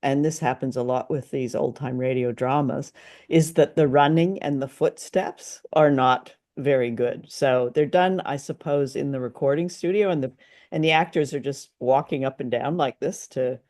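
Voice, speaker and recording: A woman's voice: rumbling low, normal speed, a little mellow. A woman co-hosting a podcast, chatting casually about an old radio drama and laughing often. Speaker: podcast co-host. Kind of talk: casual review chat.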